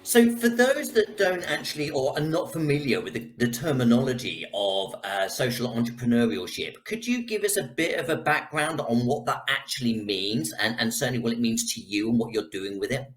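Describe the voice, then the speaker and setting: A man's voice: mid-range voice, big pitch swings, moderate pace, hardly any pauses. A man hosting a live online interview in a polite, slightly hesitant way. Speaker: host.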